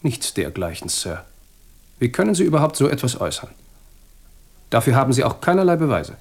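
A man's voice: medium pitch, sing-song, fairly quick, creaky. A man playing an evasive, deferential chauffeur and servant under suspicion in a German radio crime drama, formal and guarded in standard German. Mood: indignant. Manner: formal, guarded, politely indignant. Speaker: actor playing a murder suspect.

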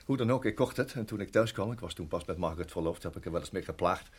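A tired farmer looking back in a radio drama, deepish husky voice, slow careful halting talk. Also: male voice. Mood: reflective. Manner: measured, halting, reflective. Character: weary, reflective married farmer recalling his years in Rhodesia. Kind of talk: radio play acting, reminiscing narration.

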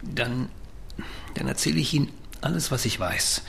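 A gravelly, jumpy older professor. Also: male voice, mid-range voice, normal speed, slight background noise. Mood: confiding. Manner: indignant, excitable, then confiding. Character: nervous, self-pitying older male professor. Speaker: brain researcher.

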